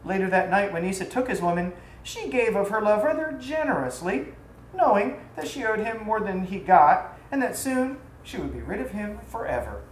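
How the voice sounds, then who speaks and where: A woman reading a scripted tale aloud in an expressive, theatrical storybook style. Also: medium pitch, moderate pace. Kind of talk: scripted narration of an exotic tale. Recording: roomy echo, faint background noise.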